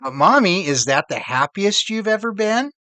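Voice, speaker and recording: A man voicing a curious, affectionate little boy in a storybook, asking his mother eager questions that rise in pitch. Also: sing-song, normal speed, sharp tone, no room sound, heavily acted.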